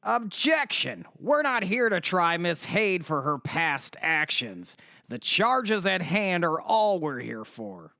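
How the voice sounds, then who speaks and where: Woman acting a assertive defense attorney, formal, bright midrange voice, swings up and down, radio drama. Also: on the low side, sing-song, slow pace, dry recording, studio quality, exaggerated voice. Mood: indignant, objecting. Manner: formal, emphatic. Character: assertive defense lawyer in wasteland court. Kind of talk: audio drama courtroom acting.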